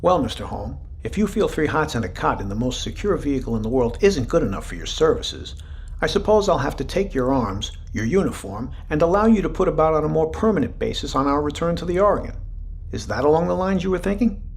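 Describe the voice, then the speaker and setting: A man's voice: fairly high pitch, very animated, fairly quick, gravelly, slightly rough, full character voice. A man playing a stern naval commanding officer with dry sarcasm in an audio drama.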